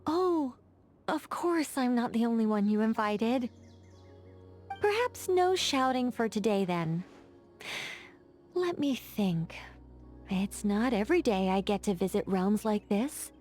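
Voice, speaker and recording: Wry, teasing female mage for a game, chatty, mock-dignified, slightly high voice, faint music underneath. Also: animated, takes it slowly, long pauses, darkish tone. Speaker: game character. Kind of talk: game voice lines.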